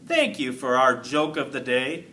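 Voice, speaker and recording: A storybook woman schoolteacher, voiced by a man, patient but firm with the class. The delivery is bright and lively in pitch, with clear instructions given unhurriedly. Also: a little slow, a little hiss or hum. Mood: brisk, instructing.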